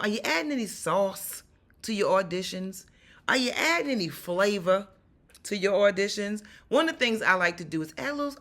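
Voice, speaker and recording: Woman, 40s, New York accent, raspy and bright-toned; animated acting coach speaking straight to camera. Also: mid-range voice. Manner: animated, emphatic, repeats key points. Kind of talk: direct-to-camera acting advice. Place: small room at home.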